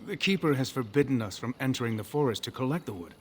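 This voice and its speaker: An actor playing a proud, fussy elven master craftsman in a video game, speaking formally and with measured scolding. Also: medium pitch, animated, darkish tone, slight background noise, close to an everyday voice. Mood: courteous, grave. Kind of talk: video game character dialogue.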